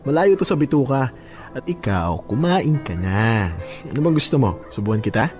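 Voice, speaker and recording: A man's voice: mid-range voice, big pitch swings, normal speed, a little mellow, faint music behind, close to an everyday voice. A man playing a devoted, affectionate young husband in a Filipino radio drama, speaking reassuringly. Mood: gentle, caring.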